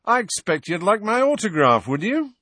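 A man's voice: high voice, big pitch swings, normal speed, pushed and bright, husky, no echo, pitched above the performer's own voice, full character voice. A man voicing a vain, exuberant, childishly vacant celebrity wizard in an audiobook, with a breezy, self-satisfied manner.

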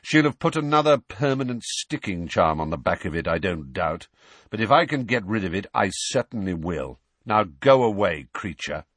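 Man reading as impatient master of house, storybook, curt, snapping, cold, husky, dry sound. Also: deep voice, very animated, normal speed, a bit bright, no echo, full character voice. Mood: irritable.

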